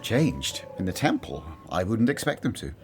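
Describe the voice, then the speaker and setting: A man playing an earnest, conscientious disciple in a radio drama, speaking soberly with gentle reproof. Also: on the low side, big pitch swings, normal speed, soft background music. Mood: thoughtful.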